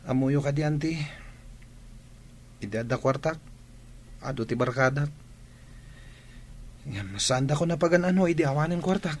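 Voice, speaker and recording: A man's voice: on the high side, normal speed, pauses often, close to an everyday voice. A man with an Ilocano Filipino accent playing a rueful, complaining young son in a radio drama. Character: young son, spendthrift now broke and rueful.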